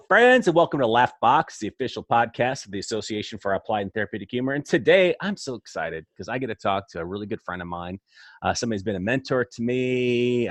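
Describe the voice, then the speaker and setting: Male podcast host, mid-range voice, upbeat and effusive, chatty interview delivery. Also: mid-range voice. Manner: enthusiastic, effusive.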